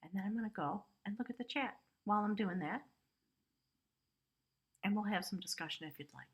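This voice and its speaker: A woman's voice: mid-range voice, lively intonation, fairly quick, husky. A woman giving a casual spiritual tarot reading, talking chattily with lots of "you know" and "right?" asides. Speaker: tarot reader.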